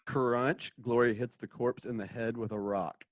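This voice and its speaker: A woman's voice: extremely low pitch, fairly even intonation, measured pace, no echo, studio quality. A woman narrating the stage directions of an audio drama, reading scripted action and sound cues.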